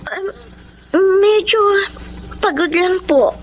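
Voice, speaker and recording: A woman in a radio drama playing a frightened, anguished fifteen-year-old girl with a Filipino accent, halting and pleading. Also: very high voice, slight background noise. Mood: timid, pleading. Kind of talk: Tagalog radio drama acting.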